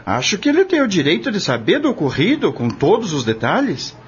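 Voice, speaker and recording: A man's voice: high-pitched, wildly swooping pitch, fairly quick, a little hiss or hum. Guy acting a worked up humble farm man in a radio novela, voice swings a lot, dull lo-fi sound. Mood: resolute, questioning. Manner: emotional, emphatic, plain rural speech. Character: humble married farm man, earnest, indignant and anguished. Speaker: actor in an audio drama. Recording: dull lo-fi audio drama recording. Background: prominent background music.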